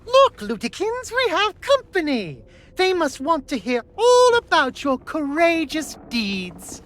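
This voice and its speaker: A woman's voice: high voice, huge pitch swings, takes it slowly, a bit bright, full character voice. A woman voicing a doting, sweetly coaxing pirate bride in a video game cutscene.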